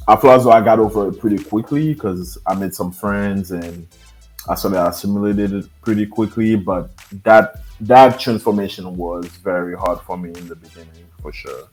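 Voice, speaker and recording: A man from Haiti with a Haitian accent, in his thirties, a podcast guest telling his own story in a soft, hesitant way with many fillers. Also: fairly low pitch, moderate pace, soft background music, low-quality recording. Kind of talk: interview, personal storytelling.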